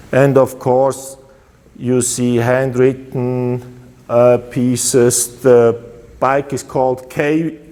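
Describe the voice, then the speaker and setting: A man with a Swiss German accent, a custom motorcycle builder giving a casual walkaround of his bikes, relaxed and halting with many fillers. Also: medium pitch, big-room sound.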